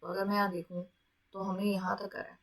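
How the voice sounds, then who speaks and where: A woman's voice: mid-range voice, level tone, fairly quick. A woman with an Indian accent lecturing to students as a teacher, switching between two languages with frequent check-in tags, speaking with a sore throat. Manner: mixes Hindi and English, frequent check-in tags. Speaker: teacher of an online exam-prep class.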